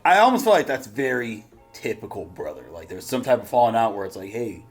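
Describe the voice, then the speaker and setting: A man hosting a reaction channel in casual, slangy, excitable chat with some swearing. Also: medium pitch, brisk. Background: background music.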